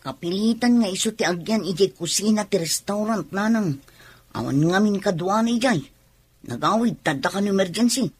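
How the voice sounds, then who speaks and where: A woman's voice: medium pitch, expressive, moderate pace, slight background noise, close to an everyday voice. A woman playing an irritable, curt pregnant young wife in an Ilocano comedy radio drama, speaking with an Ilocano accent. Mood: sullen. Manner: grumbling, curt, complaining.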